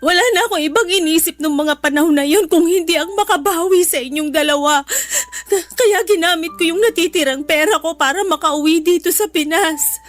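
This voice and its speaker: A very high-pitched, protective Filipino mother in middle age, voiced by a woman. She pleads earnestly and emotionally, in radio drama style, with music underneath. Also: brisk. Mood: tearful, remorseful. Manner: tearful, earnest, pleading delivery. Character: anguished, protective middle-aged Filipino mother of two sons.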